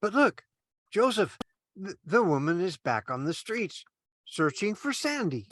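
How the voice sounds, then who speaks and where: An eager, innocent junior angel from a radio play , voiced by a man. His pitch swings widely as he exclaims in kindly earnest. The sound is dry, with no room echo. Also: very animated, unhurried, fairly bright tone, exaggerated voice. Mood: hopeful, concerned. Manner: earnest, exclaiming, swooping intonation. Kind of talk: old-time radio play parody.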